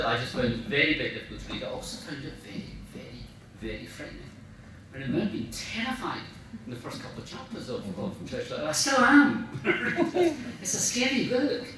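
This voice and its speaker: A man's voice: medium pitch, fairly bright tone. A middle-aged or older Scottish man giving an informal, anecdotal speech in a rambling, self-deprecating, humorous way. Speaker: after-dinner speaker, professional writer. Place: large room at a club dinner. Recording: echoey room, distant mic, faint noise.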